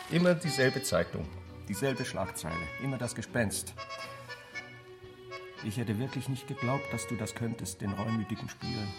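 A man's voice: medium pitch, lively intonation, a little slow, pauses often. An actor playing a mocking, talkative man in a radio play, needling his friend with theatrical questions. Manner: sardonic, theatrical, rhetorical questioning. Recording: music playing behind the voice. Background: music.

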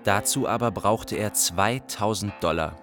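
Low, detached narrator. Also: male voice, measured pace, fairly bright tone, soft background music. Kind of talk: literary radio drama narration.

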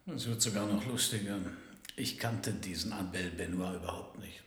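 An actor playing a dry, wisecracking middle-aged private detective in a radio crime drama. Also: male voice, on the low side, normal speed, roomy sound, lightly acted. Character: sardonic hard-boiled middle-aged male private detective, former anarchist. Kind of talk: radio crime drama dialogue and first-person narration.

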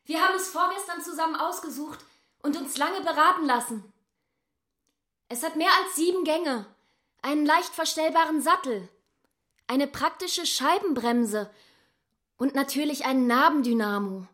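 A woman's voice: high-pitched, normal speed, pauses often, a bit bright. An actress voicing a chatty, cheerful young girlfriend who is oblivious to the tension around her.

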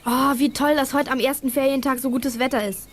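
A woman playing a lively, curious young girl from a detective gang in a German children's radio play, full of exclamations. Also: sits high, a little fast, slight background noise, close to an everyday voice. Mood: cheerful.

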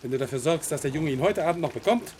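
An actor playing a smooth-talking gentleman stranger in a radio play, condescending and wheedling as he offers bribes. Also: on the high side, lively intonation, fast, slight background noise, lightly acted. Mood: wheedling, sly. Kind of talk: German radio play acting.

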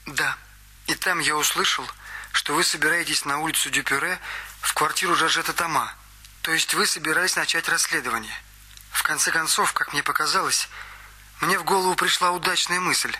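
An eager young witness. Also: male voice, on the high side, brisk, pushed and bright, husky, heavily acted. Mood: earnest. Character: eager young male witness recounting events. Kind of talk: radio play acting. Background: music.

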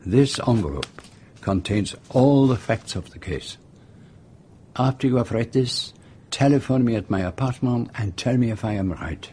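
An actor playing a shrewd, courteous detective with a French-speaking Belgian accent, speaking formally and precisely in a radio drama. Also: male voice, mid-range voice, animated, warm tone, slight background noise, natural voice. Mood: firm, commanding. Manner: formal, measured, precise phrasing. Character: shrewd, courteous male detective, self-assured and commanding.

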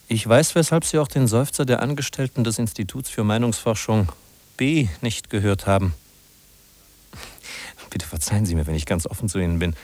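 An actor in a 1950s radio play, playing an insistent, accusing narrator who addresses the listener in an urgent, rhetorical way. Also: male voice, fairly low pitch, darkish tone, no room sound. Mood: urgent, questioning. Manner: urgent, rhetorical, polite but probing.

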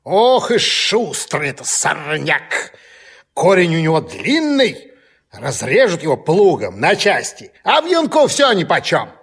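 A man playing a grumbling, scolding know-it-all rook with a raspy, cawing voice in a children's audio play. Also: a little high, huge pitch swings, a bit bright, heavily acted. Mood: grumbling, warning. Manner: scolding, emphatic, drawn-out.

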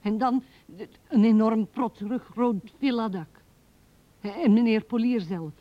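An earnest young woman hungry for love played by an actress in a radio play. Her voice is fairly high, with a plaintive, insistent delivery. The vintage 1970s recording sounds somewhat dull. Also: unhurried, lightly acted. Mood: dreamy, restless. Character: young Anna, earnest woman longing to be loved. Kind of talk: radio drama dialogue.

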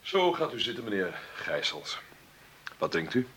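An actor in a radio play playing a wealthy, famous film-maker in his fifties, wry and commanding, with a gravelly, husky, sometimes halting delivery. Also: fairly low pitch, sing-song, fairly bright tone, slight background noise. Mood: genial, wry. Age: 50s. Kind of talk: Dutch radio play acting.

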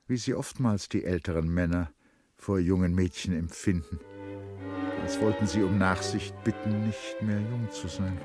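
Male narrator, lowish voice, polished literary storytelling, expressive, radio drama, music playing behind.

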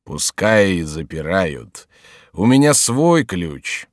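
A man voicing a gluttonous, boastful, drunken monk in a heavy, drawn-out storybook delivery. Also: sits low, expressive, no echo, studio quality. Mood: smug, tipsy. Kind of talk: dramatised audiobook character acting.

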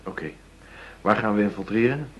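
Husky, blunt police inspector. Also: male voice, lowish voice, normal speed, fairly bright tone, dull audio. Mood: eager. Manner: blunt, informal. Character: eager, blunt police inspector, wary undercover sidekick. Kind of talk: Dutch radio play acting.

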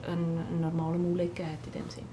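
A woman explaining in a casual interview, haltingly searching for words. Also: on the low side, completely flat delivery, a crowd in the background. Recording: noisy field recording with ambience.

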